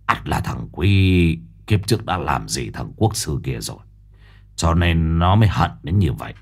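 A man voicing a gruff, impatient middle-aged investigator who growls and swears, in a husky voice. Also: rumbling low, sing-song, a little slow, pitched below the performer's own voice, heavily acted. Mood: brooding. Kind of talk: audiobook character voice.

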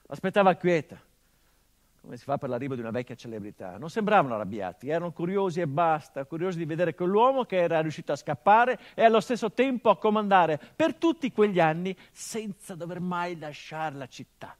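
An actor playing a grieving son. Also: male voice, a little high, animated, close to an everyday voice. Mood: grave. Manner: measured, grave, introspective delivery. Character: grieving adult son of a murdered father. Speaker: stage actor in a monologue. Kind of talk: theatrical monologue.

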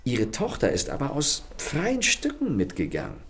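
An actor playing a charming, ironic gentleman thief in a radio play, speaking with smooth, courteous mockery. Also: medium pitch, huge pitch swings, normal speed, heavily acted. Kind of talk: radio drama acting. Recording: studio radio play with faint music.

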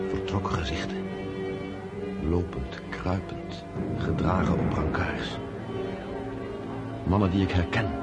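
Low-voiced appalled witness. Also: male voice. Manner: fragmented phrases, inner monologue. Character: appalled witness observing battlefield carnage. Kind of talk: radio drama monologue.

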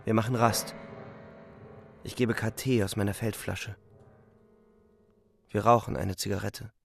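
An actor playing a young, weary front-line soldier who narrates a radio play in a sober, restrained, matter-of-fact way. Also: male voice, on the low side, fairly even intonation, measured pace, creaky. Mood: strained, tender. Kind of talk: scripted radio drama narration. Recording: music faintly behind the voice. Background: music.

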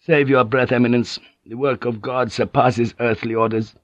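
A man reading an audiobook and voicing a hostile Spanish friar with a rasping, zealous, declamatory manner. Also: medium pitch, fairly even intonation, moderate pace, no room sound, lo-fi sound, pitched above the performer's own voice, close to an everyday voice. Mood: defiant.

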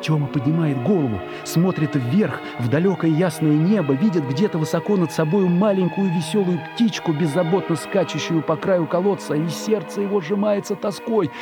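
Higher-voiced male storyteller over music. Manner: expressive, deliberate dramatic pauses. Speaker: narrator. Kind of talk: dramatic scripted story narration.

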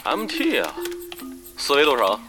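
A man's voice: medium pitch, huge pitch swings, unhurried, music in the background. A man playing a casual guild leader who sizes up newcomers in a Chinese audio drama, offhand and questioning. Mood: appraising.

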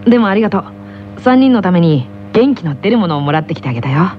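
A woman playing a calm, gently teasing teenage boy with a soft, polite, playful way of talking, in an anime radio drama. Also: lowish voice, quick pace, muffled recording, soft background music. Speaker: radio show host in character.

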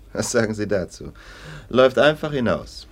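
Radio drama role: male police lieutenant, lowish voice, swooping intonation, brisk, sardonic, soldierly. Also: full character voice. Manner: clipped military formality, mocking. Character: brisk, sardonic gendarmerie lieutenant, adult man. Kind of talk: classic German radio play acting.

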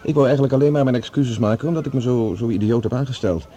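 A man playing an earnest, slightly halting professor in a radio play. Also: mid-range voice, warm tone, lo-fi sound, slight background noise, natural voice. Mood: apologetic. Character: earnest, awkwardly apologetic male professor. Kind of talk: Dutch radio play acting.

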